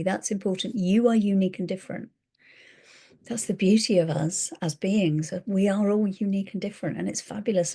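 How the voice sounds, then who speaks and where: Middle-aged woman, warm mellow tone, earnest chatty advisor talking to camera. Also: medium pitch. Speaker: spiritual advisor. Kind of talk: casual talk to camera.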